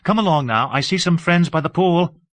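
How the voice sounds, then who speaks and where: A man doing a gentle, teasing young woman. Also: a little high, moderate pace, dry recording, pitched above the performer's own voice, natural voice.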